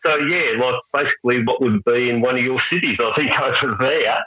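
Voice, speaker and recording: An Australian man being interviewed as a guest, relaxed and easygoing with frequent fillers. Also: medium pitch, brisk, husky, dry recording, faint music behind. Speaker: guest, mowing business owner. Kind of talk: casual interview chat. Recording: tinny phone-like call audio.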